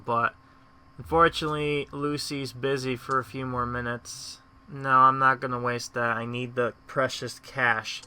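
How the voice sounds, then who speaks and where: A man's voice: mid-range voice, sharp tone, smooth. A young man casually commenting on a mobile game, rambling with many fillers and trailing thoughts. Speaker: let's-play host.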